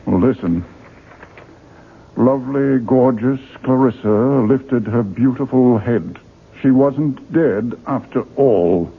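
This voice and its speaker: Casting: a courteous, emphatic middle-aged publisher for radio drama, slightly rough voice, phone-like broadcast sound. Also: male voice, mid-range voice, slow pace. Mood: exasperated, incredulous. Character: earnest middle-aged male book publisher, courteous, easily exasperated. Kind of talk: 1970s radio drama acting. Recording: 1970s radio broadcast, narrow phone-like band.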